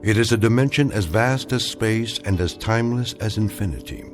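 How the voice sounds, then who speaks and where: An actor narrating a radio drama in a measured, grave voice, as the story's narrator. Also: male voice, fairly low pitch, lightly acted. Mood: solemn. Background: music.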